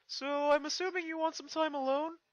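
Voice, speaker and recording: A reader doing an eager, high-pitched little dragon boy. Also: steady tone, a little fast, no room sound, dull audio, natural voice. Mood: supportive. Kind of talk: fan fiction character reading.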